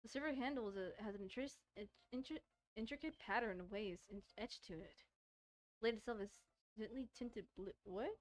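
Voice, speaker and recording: A woman's voice: medium pitch, fairly quick, low-quality recording. A woman gaming streamer doing casual let's-play commentary, reading a visual novel aloud and often stumbling and repeating words as she reads.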